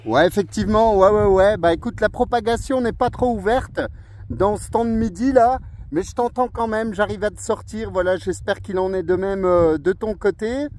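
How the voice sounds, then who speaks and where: Male radio hobbyist, high-pitched voice, chatty upbeat delivery, casual on-air conversation. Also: normal speed, a little mellow. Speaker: amateur radio operator. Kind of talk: casual radio contact chat.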